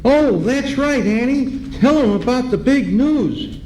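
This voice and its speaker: A man's voice: very high voice, animated, a little slow, a little mellow. A man playing an eager, folksy young boy in an old-time radio serial, with boyish exclamations. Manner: gee-whiz, boyish exclamations. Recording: roomy ballroom, faint noise.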